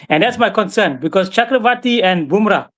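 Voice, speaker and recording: A man joining as a guest pundit, giving measured cricket analysis and often adding right and you know. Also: high voice, lively intonation, a bit bright.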